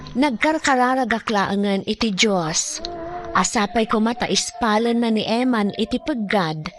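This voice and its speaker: A woman's voice: on the high side, lively intonation, a little slow. A woman acting in an Ilocano radio drama as a worried, prayerful and devoted loved one, speaking in monologue. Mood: worried, hopeful. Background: music under the voice.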